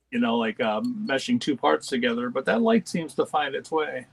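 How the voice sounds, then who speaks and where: Calm-voiced man chatting. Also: mid-range voice, normal speed, vocal fry, noisy background. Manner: calm, easygoing, laid-back. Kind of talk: casual workbench chat.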